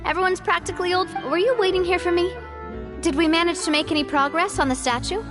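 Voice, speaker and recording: A woman's voice: very high-pitched, steady tone, quick pace. An actress playing a cheerful young woman who leads her group, playful and self-deprecating, in a game cutscene. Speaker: group leader. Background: soft game music.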